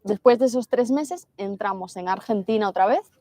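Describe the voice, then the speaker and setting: A woman's voice: mid-range voice, moderate pace, dark tone, no echo. A woman from Galicia in her thirties, co-hosting a casual travel livestream and chatting about the trip. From Galicia, Spain. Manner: chatty, reads viewer greetings aloud.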